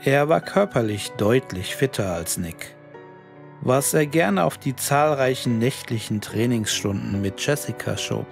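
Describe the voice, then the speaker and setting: Slow-paced man narrating a horror story. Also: medium pitch, lively intonation, gravelly, soft background music. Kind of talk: scripted creepypasta narration.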